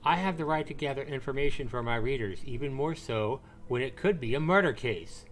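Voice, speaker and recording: A man's voice: medium pitch, brisk, slight background noise, voice pushed up, close to an everyday voice. Man reading as a pushy, prying male journalist. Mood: prying, insistent.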